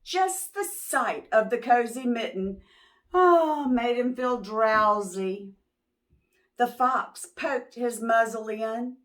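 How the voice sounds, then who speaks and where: A woman reading a picture book aloud to young children, expressively, pausing to ask them questions. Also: fairly high pitch. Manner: expressive, drawn-out words, teacherly prompting. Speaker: children's read-aloud storyteller.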